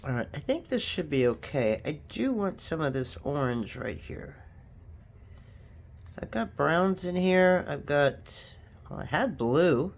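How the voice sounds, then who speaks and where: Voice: medium pitch, very animated, a little slow, vocal fry. A sniffly craft vlogger, chatting casually and rambling through asides while working on a project. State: sniffly, stuffed up from allergies or a cold.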